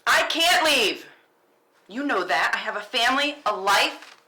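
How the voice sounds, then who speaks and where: A woman's voice: on the high side, lively intonation, measured pace, pushed and bright. A woman reading the part of a tough, guilt-ridden woman in a naturalistic script table read. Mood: angry, firm.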